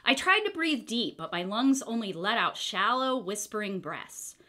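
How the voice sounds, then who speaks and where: Animated woman reading a children's storybook. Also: medium pitch, moderate pace. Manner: expressive, theatrical. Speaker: storybook reader. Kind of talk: dramatic children's book read-aloud.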